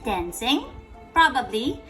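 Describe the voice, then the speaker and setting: A woman with a high voice reads a bedtime story aloud, swinging widely in pitch. She takes it slowly, repeats phrases and keeps checking in with the child through simple questions. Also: sing-song, fairly bright tone, soft background music. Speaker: storyteller reading a picture book to a child. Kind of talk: interactive bedtime read-aloud.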